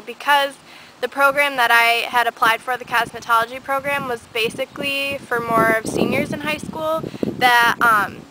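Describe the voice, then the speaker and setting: A nineteen-year-old woman talks to her camera outdoors in a casual, rambling way, full of fillers and asides. Her voice sits fairly high. Loud wind noise and passing traffic run under the whole recording. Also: low-quality recording. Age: late teens. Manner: chatty, rambling with many fillers. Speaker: beauty vlogger. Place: outdoors on a windy day. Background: wind noise and passing vehicles.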